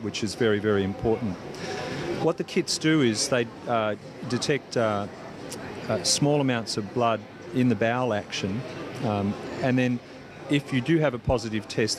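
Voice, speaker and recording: A man's voice: lowish voice, background noise. A man speaking as a cancer doctor, giving explanatory answers in a news interview.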